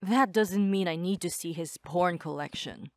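A woman's voice: on the low side, normal speed, no echo, clean studio sound, natural voice. A woman playing a stern, fed-up young female demon, curt and disapproving, in a cartoon comic dub. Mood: annoyed. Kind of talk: animated comic dub acting.

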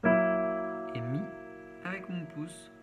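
A man's voice: on the high side, extremely slow, highs cut off. A man giving a step-by-step piano tutorial in short instructional phrases, naming notes one by one. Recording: piano playing over the voice. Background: keyboard piano being played.

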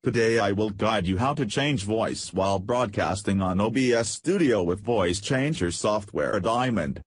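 Husky low-voiced man narrating a tutorial. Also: expressive, normal speed, no room sound, studio quality. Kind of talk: scripted step-by-step instructional narration.

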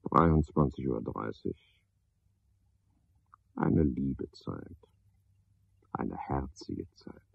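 An actor playing a pedantic, misanthropic night porter in a radio drama, a man with a husky, gravelly voice and a pompous, sardonic manner. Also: very deep voice, no room sound, heavily acted. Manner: pompous, sardonic, ornate wording. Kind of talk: 1970s German radio drama monologue. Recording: dull, dry 1970s radio recording.

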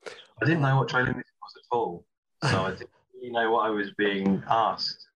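A man's voice: lowish voice, normal speed, fairly bright tone. A man, a composer guest, talking hesitantly with many ums. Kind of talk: casual interview chat. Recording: dull lo-fi sound.

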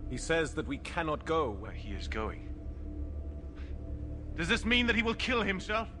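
A stern, challenging religious elder in a biblical film. His voice is fairly high and extremely animated, full of accusation, with music behind it. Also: a little slow. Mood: indignant, challenging. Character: stern, indignant male Pharisee challenger. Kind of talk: dramatic biblical film acting.

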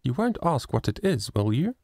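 A man reading a storybook, voicing an eager, chattering little girl who pleads and bubbles with excitement. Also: mid-range voice, moderate pace, no echo, clean studio sound, pitched above the performer's own voice. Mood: eager pleading.

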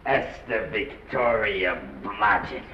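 A man playing a cynical, fast-talking studio press agent in the style of 1930s Hollywood film, clipped and florid. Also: fairly low pitch. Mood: dry, mocking. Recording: dull old film soundtrack, faint hiss.

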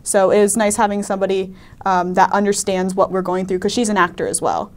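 A teenage young woman speaks in a medium-pitched voice as a student actor being interviewed. She talks casually and a little hesitantly, with "like" scattered through her answers. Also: fairly quick. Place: indoor panel, seated group.

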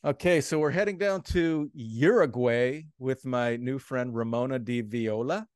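A man's voice: mid-range voice, a little slow, a little mellow, clear, resonant tone, dry recording, studio quality. A man hosting a casual interview over a video call, asking questions in a friendly, encouraging way. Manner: friendly, short encouraging reactions. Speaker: host. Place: home room, indoors. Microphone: video call webcam.

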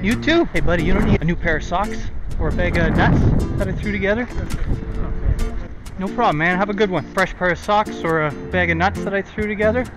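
High-pitched Canadian man chatting outdoors. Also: a little fast, poor audio. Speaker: vlogger. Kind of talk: casual chat with strangers. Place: outdoors on city streets and outside a stadium. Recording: outdoor street audio under music. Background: music, cars, street sounds.

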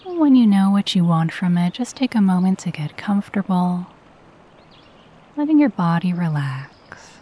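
A woman guiding a meditation in a soft, breathy voice, with a gentle, soothing and unhurried delivery. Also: fairly low pitch, very animated. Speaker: meditation guide. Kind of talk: guided meditation with spoken affirmations.

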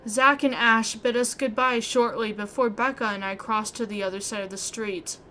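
A woman reading scripted story narration aloud in an expressive way. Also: fairly high pitch, normal speed, a little hiss or hum. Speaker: video game player reading narration aloud. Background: music.

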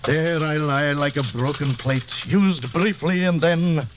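A man's voice: a little high, unhurried, lo-fi sound, close to an everyday voice. A proud old-school thespian fallen on hard times, played by a man, speaks in florid, poetic, melancholy phrases. It is vintage radio drama with faint noise on the recording. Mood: bitter, poetic. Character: down-on-his-luck theatrical male actor, melancholy and proud. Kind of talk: 1950s radio drama acting.

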